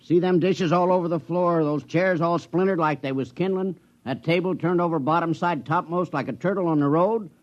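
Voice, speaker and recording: A man's voice: a little high, moderate pace, few pauses, close to an everyday voice. An actor playing a weary, dour middle-aged backwoods father with a terse, folksy rural American drawl in an old radio drama. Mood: indignant. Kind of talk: 1950s radio drama acting. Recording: muffled old radio broadcast.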